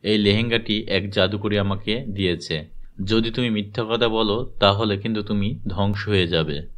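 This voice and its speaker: A man's voice: lowish voice, steady tone, moderate pace, natural voice. A man voicing a stern, protective father in a cartoon, in a dramatic, emphatic manner.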